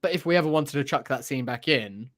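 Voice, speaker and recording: A man's voice: medium pitch, expressive, fast talker, fairly bright tone. A man giving his opinions in a casual, conversational film discussion as a podcast co-host.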